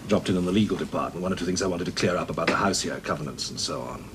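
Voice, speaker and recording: Measured British man, noisy soundtrack. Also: low voice. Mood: confiding. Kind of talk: 1970s British television drama acting. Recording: noisy 1970s television soundtrack.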